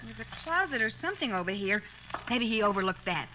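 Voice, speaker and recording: An actress playing a young detective's assistant, earnest, inquisitive and excitable, in a 1940s radio crime drama. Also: female voice, fairly high pitch, fast talker. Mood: inquisitive, surprised. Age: young adult. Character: eager, anxious young female detective's assistant. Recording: noisy old radio recording.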